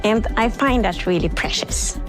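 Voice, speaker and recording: A woman's voice: medium pitch, moderate pace, loud music behind the voice. Norwegian-accented woman presenting a broadcast. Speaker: game director presenting. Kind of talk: scripted presentation.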